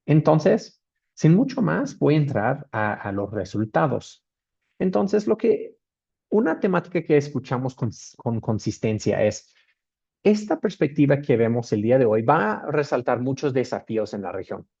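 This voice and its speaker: A man with an Australian accent presents on a video call, his voice mellow and rather dark. He hesitates often, filling gaps and restarting phrases. The audio comes through dull and muffled. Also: mid-range voice, sing-song, moderate pace, gravelly, breathy edge. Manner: hesitant, with many fillers and restarts. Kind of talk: webinar presentation.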